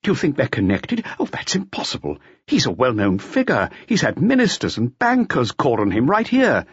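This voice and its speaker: A man's voice: medium pitch, wildly swooping pitch, moderate pace, breathy edge, no room sound, lo-fi sound, pitched above the performer's own voice, heavily acted. A man voicing an anxious, deferential hotel manager in an audiobook, hesitant and fussy, stammering as he pleads. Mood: flustered. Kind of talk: audiobook character voice.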